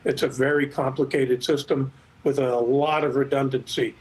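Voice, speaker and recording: A middle-aged or older man giving expert commentary in a news interview, explaining things in a measured, hesitant way. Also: medium pitch, little pitch movement, darkish tone, slight background noise, low-quality recording. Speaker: expert guest, former air traffic controller.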